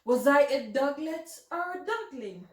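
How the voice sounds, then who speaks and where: Woman reading as an eager high-voiced young storybook dog. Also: expressive, measured pace, a little mellow. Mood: curious, musing. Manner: eager, curious, musing aloud. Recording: roomy, echoing home recording.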